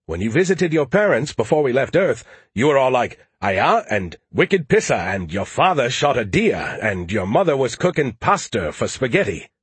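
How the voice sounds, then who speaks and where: A man's voice: mid-range voice, animated, brisk, slightly rough, dry recording, voice pushed up. A man voicing a smug, condescending male artificial intelligence in a pompous, mocking, theatrical way for a story. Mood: exasperated, sneering.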